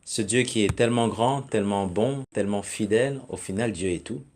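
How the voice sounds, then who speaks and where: Low-voiced man hosting, affirming. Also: a little fast, darkish tone, a little hiss or hum. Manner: affirming, interjects with agreement. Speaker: talk show host. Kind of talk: hosting a religious talk show.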